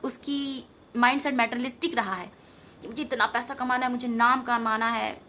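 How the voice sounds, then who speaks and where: A woman's voice: on the high side, steady tone, normal speed, bright, forceful tone, slight background noise. A woman giving an informal spiritual talk to viewers, earnest and rambling, repeating phrases for emphasis. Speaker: tarot reader and meditation teacher.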